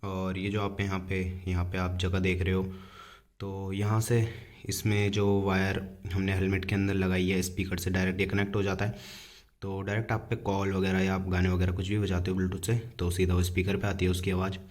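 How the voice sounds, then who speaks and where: A man's voice: deep voice, monotone, a little fast. A man giving a casual hands-on product demonstration in an informal, step-by-step explanatory manner. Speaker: vlogger reviewing a product.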